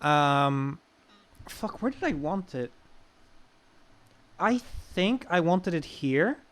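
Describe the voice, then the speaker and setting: A man's voice: on the high side, big pitch swings, slow pace. A young man with an Irish accent telling personal stories in a casual, informal, sweary chat as a podcast guest. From Ireland.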